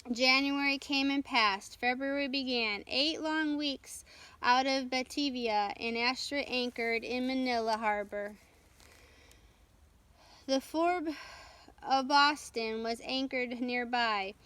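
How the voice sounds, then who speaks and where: High-voiced, slow-paced woman storyteller. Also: sharp tone, smooth. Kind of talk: reading a children's storybook aloud.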